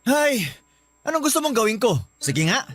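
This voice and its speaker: Wounded young male lover, high pitch, defensive, self-pitying, Filipino accent, radio drama over music. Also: very animated, moderate pace, a bit bright, heavily acted. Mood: frustrated, self-pitying. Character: hurt, defensive young man in love affairs. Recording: music behind the voice.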